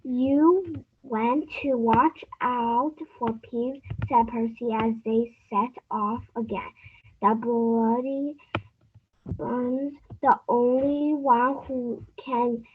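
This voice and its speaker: A female young reading club participant reading aloud haltingly, heard through thin, phone-like call audio. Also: mid-range voice, fairly even intonation, slight background noise.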